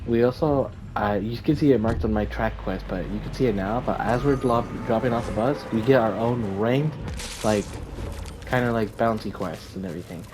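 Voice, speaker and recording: A man's voice: mid-range voice, moderate pace, background noise. A young man with a tired voice, talking casually over his gameplay in a rambling way, with lots of fillers and restarts. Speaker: gaming YouTuber.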